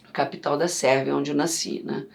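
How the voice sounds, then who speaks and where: A middle-aged woman from Yugoslavia, chatting as an interview guest and telling stories in an animated way. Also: sits low, a little fast, vocal fry. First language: Serbian. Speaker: guest, vocal coach. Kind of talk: casual interview chat.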